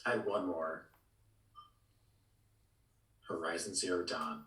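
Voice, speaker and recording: A man's voice: low-pitched, steady tone, takes it slowly, a bit bright, recorded from a distance. A man co-hosting a podcast in casual, agreeable chat, with a roomy echo on his voice. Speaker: podcast co-host.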